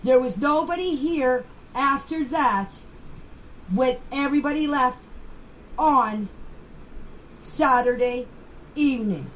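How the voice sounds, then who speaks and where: A mature woman with a high voice tells her side in an informal, halting way. She is plain-spoken and insistent, taking her time over the words. The amateur voice clip carries faint background noise. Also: a bit bright, low-quality recording. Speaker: private person giving her account in voice clips. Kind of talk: informal spoken personal account.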